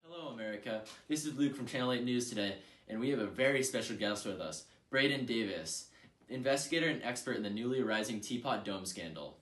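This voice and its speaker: A man's voice: mid-range voice, lively intonation, a little fast, fairly bright tone, soft background music. A young man hosting a scripted news interview. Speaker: news host. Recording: echoey room.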